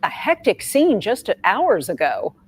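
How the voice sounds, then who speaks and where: A woman's voice: medium pitch, huge pitch swings, a little slow, husky. A woman anchoring local television news with a scripted reading delivery.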